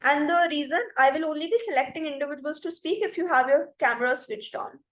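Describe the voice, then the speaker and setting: A woman's voice: high-pitched, fast talker, a bit bright. A young woman with an Indian English accent, running an online debate training session and explaining motions with lots of "like" and "you know". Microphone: video call.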